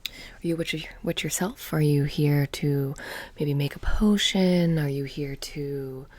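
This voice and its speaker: A woman playing a sly, mysterious witch shopkeeper in a soft-spoken ASMR roleplay, hushed and breathy, slipping in and out of whispers. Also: low voice, steady tone, takes it slowly, no room sound. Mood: probing, coaxing.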